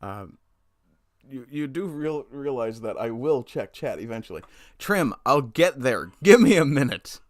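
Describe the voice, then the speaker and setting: A man's voice: mid-range voice, sing-song, unhurried, no room sound, studio quality. A man hosting a casual livestream, bluntly commenting on news and reading chat, often swearing as he rants. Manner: blunt, profane, ranting.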